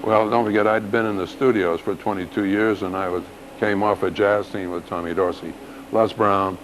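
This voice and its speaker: Older man, low voice, rambling. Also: steady tone, moderate pace, a little hiss or hum, rough recording. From New York City. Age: 70s. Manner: rambling, anecdotal, self-deprecating. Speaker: guest musician, clarinetist. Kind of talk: casual interview.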